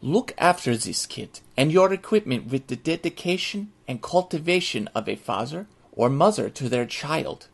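An earnest military man reads out a survival handbook, as a male actor plays him. His voice is husky and creaky, set a little high. He speaks formally and with measured care, instructing as in an audio drama. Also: animated, heavily acted. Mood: earnest, solemn. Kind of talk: scripted in-character audio drama narration.

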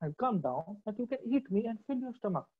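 A woman's voice: mid-range voice, very animated, a little fast, slightly rough, narrow, tinny audio. A woman playing a clever thin old lady. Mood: coaxing.

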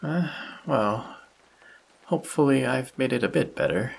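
A man playing a kindly, courteous vampire in an intimate audio roleplay, speaking softly and solicitously to the listener with a gentle teasing touch. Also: medium pitch, expressive, unhurried, creaky, breathy edge, exaggerated voice, rough recording. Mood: kind, slightly wistful. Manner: soft-spoken, solicitous, politely old-fashioned. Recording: close voice with rain sound effects. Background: rain sound effects.

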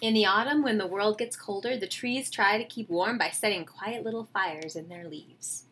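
A woman, reading a picture book aloud to children with expressive, enthusiastic, chatty asides. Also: medium pitch, moderate pace, slight background noise. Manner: expressive, enthusiastic, questioning the listeners. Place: small home room, indoors.

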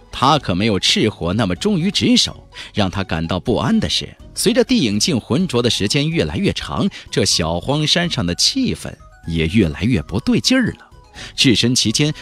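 Male audiobook narrator, very animated delivery with a husky edge, faint music underneath. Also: fairly low pitch. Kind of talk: dramatic scripted narration of a fantasy novel.

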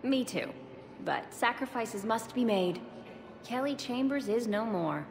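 A woman playing a gentle young woman in hiding, in video game dialogue. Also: a little high, lively intonation, unhurried, a crowd in the background. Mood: wistful.

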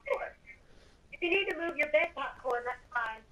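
Woman, high-pitched, bright, casual gaming roleplay, peacemaking, instructing. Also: expressive, normal speed, poor audio. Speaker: player in a group game roleplay.

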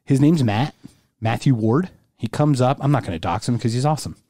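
A man's voice: mid-range voice, a little fast, warm tone, no echo, clean studio sound. A man hosting a podcast, chatting casually and telling stories in a playful, joking way. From Philadelphia area. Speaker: podcast host.

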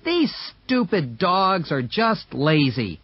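A man's voice: high voice, sing-song, slow pace, husky, dry recording, lo-fi sound, voice pushed up, heavily acted. A man voicing a stubborn, scornful young man of twenty in a read-aloud story. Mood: mocking. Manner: scornful, bossy.

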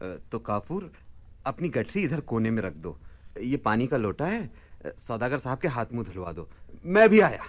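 An actor playing a hospitable, outspoken son with a courteous, animated manner in a radio play. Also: male voice, mid-range voice, moderate pace. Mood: eager, welcoming. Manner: courteous, animated, indignant about injustice. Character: hospitable, outspoken son of a widow, Abul Hasan. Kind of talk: Hindi radio play acting.

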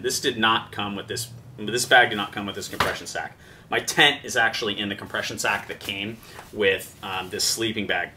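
A middle-aged man walks through his backpacking gear in a relaxed, rambling way, full of ums and self-deprecating laughs. His voice is bright and clear in tone. He sounds a few meters from the camera mic, out in a backyard with faint ambience behind him. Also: medium pitch, distant mic, slight background noise. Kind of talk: casual gear walkthrough. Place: backyard patio outdoors.

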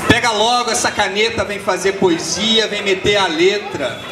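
A man's voice: sits high, moderate pace, reverberant. A young man performing spoken word poetry outdoors at night with a rhythmic, chanted delivery into a handheld mic. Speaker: poet performing. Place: outdoor street square at night.

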